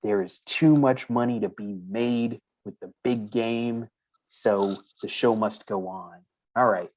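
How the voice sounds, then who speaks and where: A man's voice: fairly low pitch, takes it slowly, darkish tone. A man hosting a crypto news show, reading stories aloud and adding chatty asides in a casual, conversational way.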